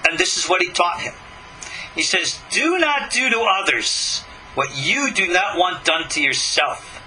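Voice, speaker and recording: A man's voice: high-pitched, lively intonation, measured pace, sharp tone, a little hiss or hum, rough recording. A man giving a religious lecture as a rabbi, reading scripture and commenting in an emphatic, argumentative way with rhetorical questions. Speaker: rabbi teaching a class.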